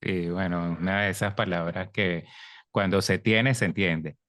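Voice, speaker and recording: A man hosts the interview, chiming in with short, agreeable remarks. His voice sits very low, and the recording is dry, with no room sound. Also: fairly even intonation, measured pace, fairly bright tone, no echo. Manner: brief affirming interjections. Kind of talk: conversational interview.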